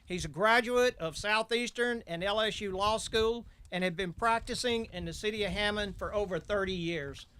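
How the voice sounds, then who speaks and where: A man's voice: high-pitched, moderate pace, hardly any pauses, pushed and bright, clean tone. A middle-aged man giving a folksy welcome speech as master of ceremonies.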